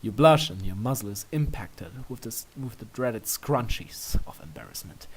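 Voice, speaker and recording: Narrator for scripted fiction: mid-range pitch, expressive, widely varying melody. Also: moderate pace, a little hiss or hum, rough recording.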